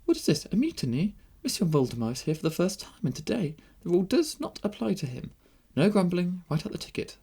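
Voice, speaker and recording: Casting: male reader as young princess for audiobook, raised pitch, lively, bossy, playfully teasing. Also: fairly quick, hardly any pauses, breathy edge, exaggerated voice. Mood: imperious. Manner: bright, commanding, playful exclamations. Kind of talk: audiobook character voice.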